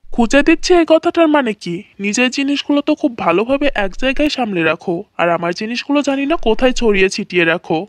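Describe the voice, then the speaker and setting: A woman's voice: on the high side, lively intonation, quick pace, dry recording, studio quality, lightly acted. Harsh domineering husband acted by a woman. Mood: irritated scolding. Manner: harsh, scolding. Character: bad-tempered, domineering husband, cruel uncle.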